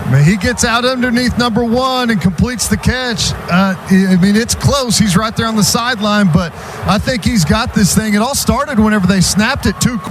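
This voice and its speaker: High-voiced male football color commentator. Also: normal speed. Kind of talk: conversational football analysis. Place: stadium radio booth. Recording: noisy stadium radio broadcast. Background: stadium noise.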